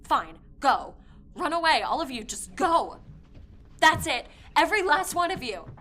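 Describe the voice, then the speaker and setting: A woman playing a haunted, obsessive young woman who recounts a dark past in an intense audio drama monologue. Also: mid-range voice, steady tone, normal speed, close to an everyday voice. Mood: furious. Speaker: performer reading a dramatic monologue. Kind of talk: first-person audio fiction monologue. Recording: voice over prominent music.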